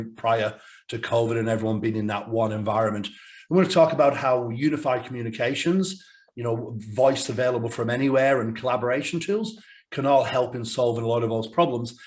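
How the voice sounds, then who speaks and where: A man, croaky from a cold, presenting a business webinar over a video call. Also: medium pitch. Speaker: sales director presenting a webinar.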